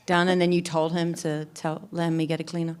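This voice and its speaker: A woman's voice: sits low, level tone, a little fast, darkish tone, clean tone. A woman, a wife and mother in the congregation, talking candidly and chattily about her marriage. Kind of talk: candid church discussion.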